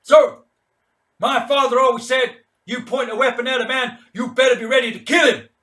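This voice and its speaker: A young Marine lieutenant, voiced by a male story reader, formal to the point of stiffness. He speaks in clipped military fashion, high and forceful, with defiance under the discipline. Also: fairly even intonation, moderate pace, far from the mic. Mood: defiant.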